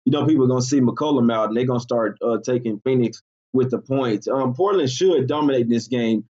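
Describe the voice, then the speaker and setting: A man with a husky, rough voice talks through sports bets in a relaxed, hesitant way, often trailing into fillers. The sound is muffled and lo-fi. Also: medium pitch, a little fast. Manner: laid-back, hesitant, full of fillers. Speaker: sports betting co-host. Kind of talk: casual sports betting chat.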